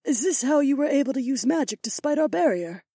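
A woman's voice: high-pitched, animated, a little fast, dark tone, dry recording, studio quality. A woman playing a stern, imperious queen and mother questioning her court in an audiobook drama. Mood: measured approval.

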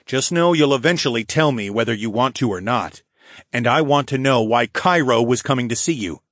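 A man's voice: medium pitch, brisk, a bit bright, dry recording, clean studio sound, lightly acted. A man voicing a cocky, tough dragon hero who taunts a prisoner in an audiobook. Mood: taunting. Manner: taunting, sneering.

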